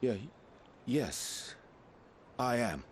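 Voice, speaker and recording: A man's voice: mid-range voice, sing-song, very slow, a little hiss or hum. An actor playing a flustered man in a game cutscene who stammers and uses old-fashioned diction.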